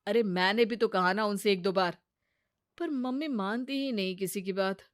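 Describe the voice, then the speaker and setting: A woman's voice: mid-range voice, normal speed, natural voice. A woman voicing a mild young husband caught between wife and mother in a comic cartoon story, hesitant and slightly sardonic. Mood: mildly sardonic.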